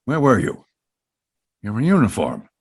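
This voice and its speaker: An actor playing a shaken survivor who keeps asking anxious questions in a radio drama. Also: male voice, lowish voice, wildly swooping pitch, unhurried, breathy edge, heavily acted.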